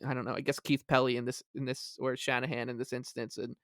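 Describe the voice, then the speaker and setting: A man co-hosting a sports podcast, chatting casually in a halting, gleeful, excitable way. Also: medium pitch, dry recording, clean studio sound. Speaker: podcast co-host. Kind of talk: casual hockey fan chat.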